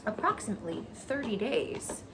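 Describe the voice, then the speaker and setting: Casting: a woman playing a matter-of-fact nesting goose in a storybook, cheeky and animated. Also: mid-range voice, moderate pace, background noise, voice pushed up.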